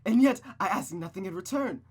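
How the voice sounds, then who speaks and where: A reader voicing a cruel, abusive mother who speaks with cold menace and rages in a shout. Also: mid-range voice, animated, a little fast, slightly rough, voice pushed up. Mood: raging. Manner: menacing, then shouting in fury. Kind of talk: dramatic reading of a visual novel.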